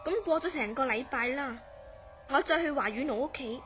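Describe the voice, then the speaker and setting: A high-pitched, inquisitive woman in a horror radio play. She speaks expressively, with a puzzled, wondering edge, over background music. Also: moderate pace, fairly bright tone. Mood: earnest, mysterious. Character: curious, astonished woman recounting a friend's strange dreams. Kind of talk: Cantonese horror radio drama acting.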